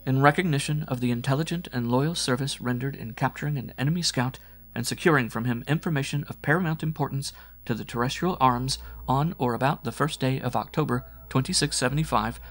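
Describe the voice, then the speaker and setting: A man voicing a brisk, kindly female hospital nurse in a science fiction story, calm and formal. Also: medium pitch, soft background music, pitched above the performer's own voice, close to an everyday voice. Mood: formal, ceremonial.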